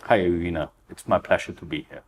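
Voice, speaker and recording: Deep-voiced Austrian man, earnest. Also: quick pace. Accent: Austrian German. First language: German. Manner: earnest, fillers, non-native phrasing. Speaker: crypto ecosystem founder, guest. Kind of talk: interview answers.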